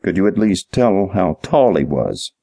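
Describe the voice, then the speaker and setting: A man's voice: sits low, big pitch swings, a little slow, dark tone, slightly rough, lo-fi sound, heavily acted. Man playing a sarcastic, exasperated police detective. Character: male police detective, weary, sarcastic, impatient with fools.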